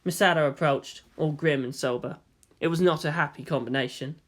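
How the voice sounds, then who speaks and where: A man's voice: fairly high pitch, fairly quick, fairly bright tone. A man reading fiction aloud as narrator, in a dramatic scripted style. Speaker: story narrator.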